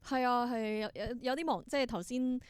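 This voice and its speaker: A woman's voice: fairly high pitch, a little fast, clean tone, no room sound. A woman with a Hong Kong accent chatting casually as an interview guest. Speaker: podcast guest, independent theatre artist.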